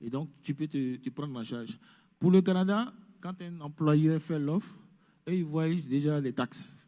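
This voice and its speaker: A man's voice: a little high, unhurried, warm tone. Man presenting in an echoey hall. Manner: explains step by step, mixes English words into French. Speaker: immigration presenter. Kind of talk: information session presentation.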